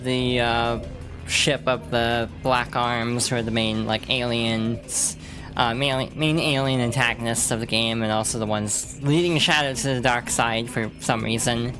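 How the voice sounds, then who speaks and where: A woman's voice: extremely low pitch, steady tone, measured pace. A woman streamer rambling through casual let's-play commentary, with lots of fillers and restarts. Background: game music.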